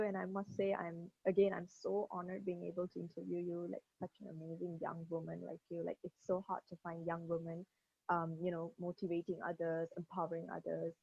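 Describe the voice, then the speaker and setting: A woman's voice: lowish voice, breathy edge, no room sound. A young woman from Malaysia, interviewing a guest in an effusive way, full of "like" and "you know". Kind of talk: casual interview over a video call. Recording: dull dry lo-fi call audio.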